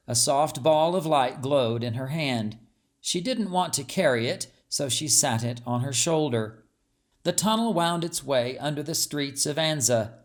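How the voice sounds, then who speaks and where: Slow-paced male audiobook narrator. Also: a little high. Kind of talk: scripted story narration.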